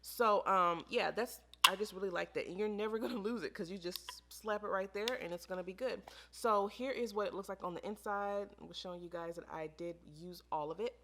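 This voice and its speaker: A woman's voice: mid-range voice, fairly quick, hardly any pauses, a bit bright, a little hiss or hum. An American woman chatting casually to camera as a beauty vlogger, in an informal, emphatic way that repeats phrases for emphasis. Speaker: beauty vlogger reviewing products.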